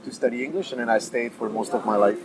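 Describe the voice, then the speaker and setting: A man in his thirties from France, a guest in a casual interview, who talks haltingly with many fillers and restarts. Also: medium pitch, low-quality recording. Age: 30s. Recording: busy crowd chatter behind.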